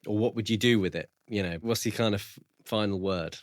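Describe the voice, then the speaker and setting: A man co-hosting a casual book review podcast, speaking in a measured, agreeable, loosely phrased way. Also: on the low side, no echo, studio quality.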